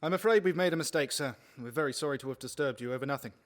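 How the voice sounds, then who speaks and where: An actor playing a polite, probing night watch officer in a period radio play, speaking formally and with measured care. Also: male voice, medium pitch, close to an everyday voice. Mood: polite, apologetic.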